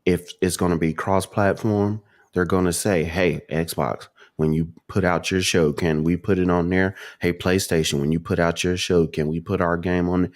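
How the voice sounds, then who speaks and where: A man's voice: low-pitched, no echo, clean studio sound. A man talking casually as a panelist in a video game discussion. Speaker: podcast panelist.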